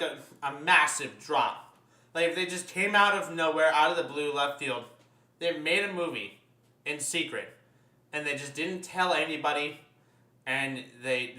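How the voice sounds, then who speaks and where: A man's voice: on the high side, pushed and bright. A man co-hosting a casual pop culture podcast, blunt and crude with his swearing, recorded in an echoey room. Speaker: podcast co-host.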